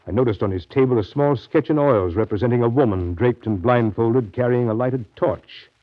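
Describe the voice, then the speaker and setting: An actor playing a riverboat captain recounting a grim journey in brooding, deliberate 1930s radio drama narration. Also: male voice, medium pitch, natural voice. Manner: brooding, deliberate, literary storytelling. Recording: dull, lo-fi 1930s radio recording.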